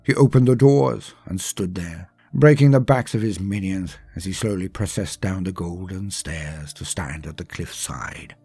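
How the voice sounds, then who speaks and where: A man's voice: sits low, measured pace, hardly any pauses, a little mellow, breathy edge. A man reading a scripted story as a dramatic narrator, with theatrical, emphatic phrasing that drops away at line ends. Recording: music under the voice.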